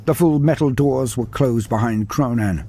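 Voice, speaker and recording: Guy telling a story, huskyish voice, soft music under it. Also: mid-range voice, normal speed. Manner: dramatic, animated storytelling. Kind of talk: scripted story narration.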